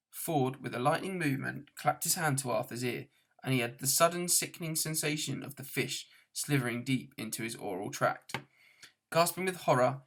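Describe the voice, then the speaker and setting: A man's voice: medium pitch, a bit bright. A man reading a novel aloud as scripted storybook narration. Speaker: audiobook reader.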